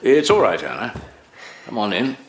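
An actor playing a composed, courteous professor and analyst in an audio drama, formal and probing. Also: male voice, medium pitch, big pitch swings, moderate pace, noisy background. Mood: brisk, courteous.

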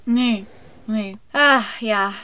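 A woman's voice: fairly high pitch, very slow. A German woman, an actress, chatting casually on a podcast and acting out the dialogue in her stories. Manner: animated storyteller who acts out dialogue. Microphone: home podcast setup.

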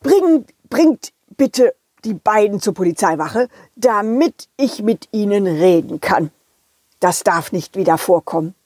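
A woman voicing a calm, kindly male police officer in a children's audio play, reassuring and gently instructive. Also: medium pitch, measured pace, husky, no room sound, clean studio sound. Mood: firm, fair.

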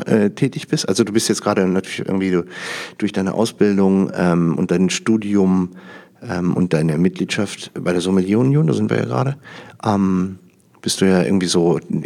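A man from the Lower Rhine in Germany hosting a conversational interview, asking informal, rambling questions that often end in tag questions. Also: normal speed, creaky, darkish tone. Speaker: podcast interviewer.

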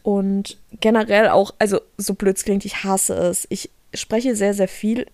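A woman's voice: mid-range voice, little pitch movement, measured pace, a little mellow, dry recording, clean studio sound. A young woman from Germany, co-hosting a podcast in casual chat, talking freely with frequent laughs and restarts. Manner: chatty, laughs often, trails off and restarts. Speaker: podcast co-host.

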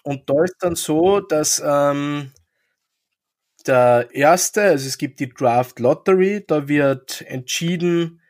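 Man, slightly high pitch, explaining, hesitant fillers, casual chat. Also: slow pace, a little mellow. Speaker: podcast co-host explaining hockey.

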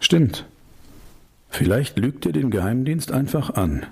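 A father in a radio play, speaking slowly in a husky, creaky voice. He is terse and deadpan with his child. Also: medium pitch, exaggerated voice. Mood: laconic. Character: laconic, slow-answering father of a young child.

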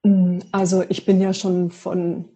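Mellow, husky-voiced woman from Berlin. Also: lowish voice, little pitch movement. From Berlin, Germany. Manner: measured, articulate, occasional fillers. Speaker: guest, leadership coach. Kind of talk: conversational interview.

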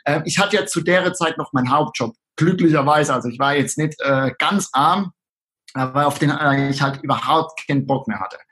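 Male guest, Palatinate German accent, husky, slightly raised pitch, chatty with many fillers. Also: moderate pace, fairly bright tone. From southern Palatinate, Germany. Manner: hesitant, frequent fillers. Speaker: podcast guest. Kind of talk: casual interview chat.

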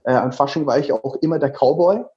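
Thirties man, Franconian accent, mellow voice pitched a touch high, dull lo-fi sound. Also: fast. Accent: Franconian German. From Lower Franconia, Germany. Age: 30s. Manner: lively, jokey, rambling with many fillers. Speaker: guest, travel speaker. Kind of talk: casual interview talk.

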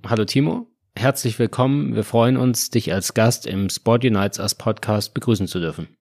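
A man's voice: lowish voice, moderate pace, a little mellow, dry recording, faint music behind. A man co-hosting a podcast, asking questions in an earnest, formal way. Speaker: podcast co-host. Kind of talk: semi-scripted podcast hosting.

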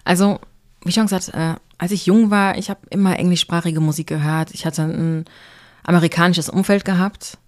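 A woman's voice: fairly low pitch, steady tone, normal speed, a little mellow. A young woman from Germany, a singer-songwriter, answering interview questions in an easygoing way.

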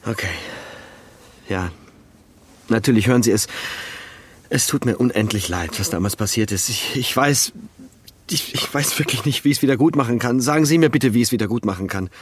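A meek, apologetic husband, played by an actor in an audio drama. His voice is husky and of middling pitch. He speaks haltingly and submissively, trying to placate. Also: natural voice. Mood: contrite, pleading. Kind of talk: German fiction podcast acting.

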